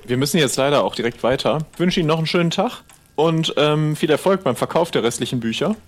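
A man's voice: on the high side, expressive, fast. A man speaking casually and politely, a little tentative, as a player improvising in a role-play.

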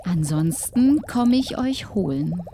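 A woman playing an eerie forest hut dweller in an audio role-play, measured and quietly menacing. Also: lowish voice, expressive, a little hiss or hum.

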